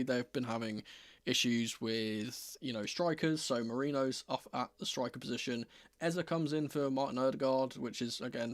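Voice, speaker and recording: A slightly croaky man hosts a football livestream in a dry, close sound. His pitch sits in the middle of the male range. He talks casually, with a wry, self-deprecating manner, as someone a little under the weather. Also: dry recording. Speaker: football fan streamer hosting a watchalong.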